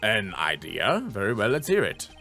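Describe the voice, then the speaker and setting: A man voicing a stern, formal old trial judge from a video game, slow-witted and ponderous. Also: fairly low pitch, wildly swooping pitch, creaky, sharp tone, faint music behind, pitched below the performer's own voice, heavily acted. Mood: solemn.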